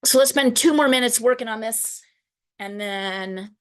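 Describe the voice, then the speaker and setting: A woman's voice: on the high side, measured pace. A woman teaching an online drawing class over a video call, chatty and encouraging. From United States. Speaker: art teacher.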